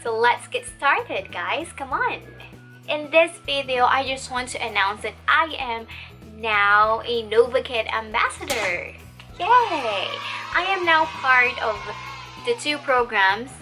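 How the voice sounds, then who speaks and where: Young Filipina teacher, bright and upbeat, talks straight to camera with faint background music. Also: female voice, a little high, lively intonation, slow pace. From Philippines. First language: Tagalog. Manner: chatty, upbeat, addresses viewers as guys. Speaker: online English teacher and vlogger. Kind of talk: casual talk to camera.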